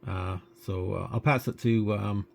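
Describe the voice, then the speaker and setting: A man's voice: lowish voice, steady tone, measured pace, creaky, darkish tone, slight background noise. A man making casual conversation as an amateur radio operator.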